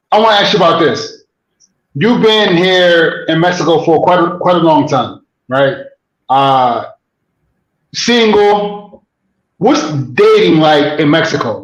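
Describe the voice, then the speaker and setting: A man hosting a casual interview, relaxed and encouraging, asking easygoing questions. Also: on the high side, muffled recording. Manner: relaxed, frequent short backchannels. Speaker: host. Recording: echoey room.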